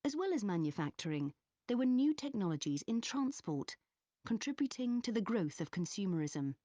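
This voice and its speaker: A woman playing a history student giving a scripted academic presentation in a measured, explanatory manner. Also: mid-range voice, very animated, warm tone, no echo, natural voice. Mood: explanatory, composed. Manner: measured, clearly structured explaining. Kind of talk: scripted academic presentation in an exam listening recording.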